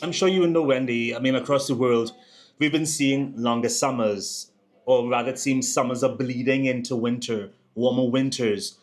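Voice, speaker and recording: A man's voice: medium pitch, steady tone, normal speed. A man hosting an interview, asking long conversational questions and searching for words with fillers. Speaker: host.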